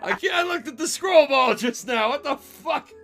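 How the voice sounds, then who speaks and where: A man's voice: extremely high pitch, lively intonation, moderate pace, very noisy. A man co-hosting a fan fiction stream with casual, comic reactions. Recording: music playing behind.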